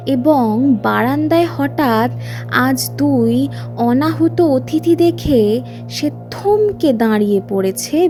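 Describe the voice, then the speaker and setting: A very high-pitched woman narrates a scary story over music, taking her time. The delivery is theatrical and scripted, built for suspense. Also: dark tone. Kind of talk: scripted dramatic audiobook narration. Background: music under the voice.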